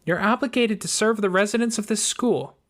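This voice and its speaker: A man voicing a composed young woman in an audiobook, a practical mentor giving brisk instructions. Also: high voice, fairly bright tone, pitched above the performer's own voice. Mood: firm.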